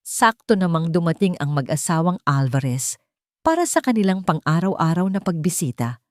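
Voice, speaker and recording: A woman narrates a scripted drama. Her voice sits low. The sound is dry, with hardly any room around it. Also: sing-song, moderate pace, dry recording.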